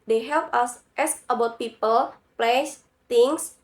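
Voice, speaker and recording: Brief: young woman, stuffy from a cold, high voice, careful read-through of a student presentation. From Indonesia. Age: young adult. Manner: careful, slightly halting non-native English. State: has a cold. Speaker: university student. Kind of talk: reading a prepared class presentation.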